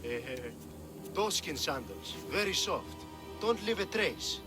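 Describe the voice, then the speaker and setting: A man's voice: high voice, very animated, slow pace, over music, heavily acted. A man playing a cowardly, bumbling guard in a video game, hesitant and blustering as he corrects himself. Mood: evasive bluster.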